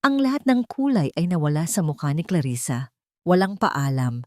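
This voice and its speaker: A woman's voice: low-pitched, big pitch swings, normal speed, no room sound, studio quality. A woman reading dramatic scripted fiction as a story narrator.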